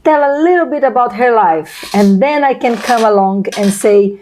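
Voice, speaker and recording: Female host, Brazilian accent, native Portuguese speaker, mellow tone, effusive conversational interviewing. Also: a little high, lively intonation, moderate pace, slight background noise. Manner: effusive, wordy, repeats phrases. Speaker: host of a video channel.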